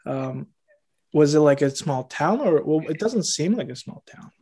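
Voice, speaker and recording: A man's voice: on the high side, animated, unhurried, dark tone, husky. A man hosting an interview, asking questions in an easygoing way with small fillers. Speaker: interview host. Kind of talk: casual interview.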